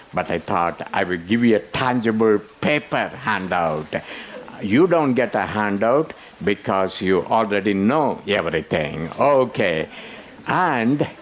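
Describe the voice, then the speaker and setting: Slow, joking older man presenting a workshop. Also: on the low side, slight background noise. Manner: joking, teasing the audience. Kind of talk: interactive conference workshop talk.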